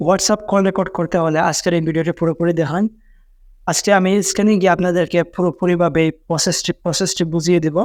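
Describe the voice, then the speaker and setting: A man's voice: high-pitched, a little mellow, no echo, clean studio sound. A man narrating a how-to video as a tech host, in repetitive, instructional step-by-step phrasing.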